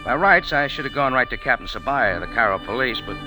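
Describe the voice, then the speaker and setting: An actor playing a hard-boiled, wisecracking American adventurer in 1940s radio style, slangy and wry. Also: male voice, medium pitch, animated, a little fast, close to an everyday voice, rough recording. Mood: wry narrating. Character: wry, tough American adventurer and first-person detective narrator. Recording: vintage 1940s radio broadcast with music.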